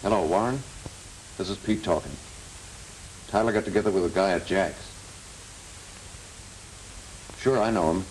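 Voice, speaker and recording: A man's voice: deep voice, moderate pace, pauses often, close to an everyday voice, poor audio. An actor playing a terse, matter-of-fact young henchman in 1930s film style. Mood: furtive. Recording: hissy old film soundtrack.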